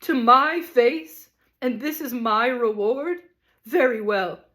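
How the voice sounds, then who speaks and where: A woman's voice: a little high, unhurried, voice pushed up, close to an everyday voice. A woman voicing a pompous, self-pitying male ghost who acts like a stage tragedian, declaiming bitterly. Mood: indignant.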